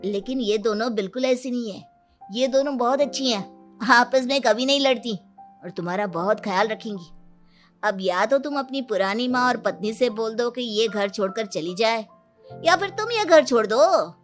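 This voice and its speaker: A helpful, scheming young man in a cartoon moral story, played by a woman. The voice is high and confidently reassuring, over faint music. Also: expressive, moderate pace, natural voice. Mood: persuasive.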